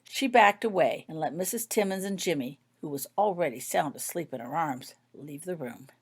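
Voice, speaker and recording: A woman's voice: sits low, animated, normal speed, breathy edge. A woman narrating a scripted story, reading her own fiction aloud.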